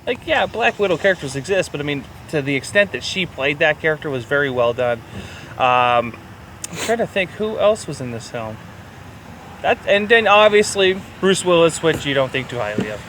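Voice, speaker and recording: A man co-hosting a podcast, chatting casually about films in an animated, sometimes crude way. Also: fairly high pitch, moderate pace, a little hiss or hum, poor audio. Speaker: podcast co-host. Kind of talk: casual film review chat.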